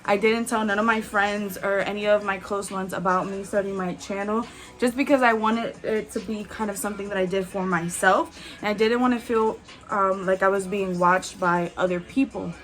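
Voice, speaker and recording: A woman in her twenties chatting candidly as a beauty vlogger while doing her makeup, with frequent "like"s and soft laughs. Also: medium pitch, fairly even intonation, normal speed. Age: 20s.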